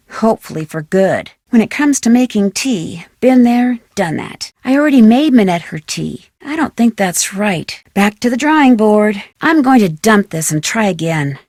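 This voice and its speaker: A woman's voice: medium pitch, expressive, moderate pace, few pauses, darkish tone, dry recording, close to an everyday voice. Actress as young american girl detective in a pc game, curious n wry, talking to herself, super dry recording. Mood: thoughtful. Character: plucky, curious young woman amateur detective. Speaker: video game voice actor. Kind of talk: scripted adventure game dialogue, thinking aloud.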